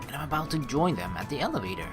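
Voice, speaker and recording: Brief: casual woman streamer reading a game aloud, deep voice, lively swings, music under. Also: very fast. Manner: casual, rambling, self-correcting. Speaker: let's play host. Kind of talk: reading a visual novel aloud with chatty asides.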